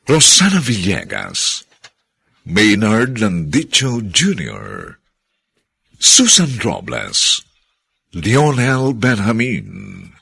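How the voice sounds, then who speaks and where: A man with a Filipino accent announcing a radio drama, reading the credits in a formal, measured, dramatic manner. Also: deep voice, creaky, sharp tone, slightly rough, no room sound. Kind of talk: scripted narration, reading credits.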